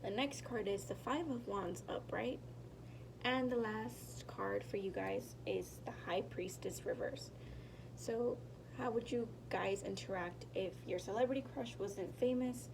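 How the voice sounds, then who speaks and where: A woman's voice: mid-range voice, a little slow, roomy sound, recorded from a distance, noisy background. A young woman giving a casual tarot reading, sounding nasal and tired from a sinus infection, rambling and correcting herself, sometimes dropping into a whisper. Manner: rambling, self-correcting, apologetic, drops into whispers. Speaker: tarot reader.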